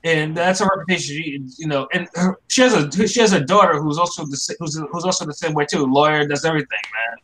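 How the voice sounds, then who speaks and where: A man in a casual podcast chat who talks haltingly, restarting his sentences and joking around. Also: fairly high pitch, a bit bright, poor audio. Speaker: podcast co-host.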